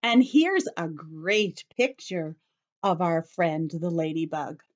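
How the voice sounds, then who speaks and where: A woman's voice: on the low side, slow pace, highs cut off. A woman teacher leading story time for young children, speaking in simple short phrases with careful emphasis and addressing the children directly. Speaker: children's teacher reading a picture book.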